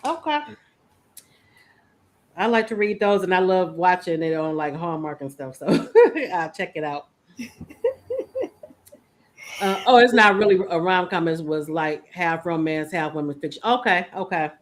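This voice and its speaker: A woman's voice: lowish voice, measured pace, pauses often. Female book show host, casual and chatty, conversational with the audience. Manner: chatty, addresses live audience by name. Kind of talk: casual book discussion chat.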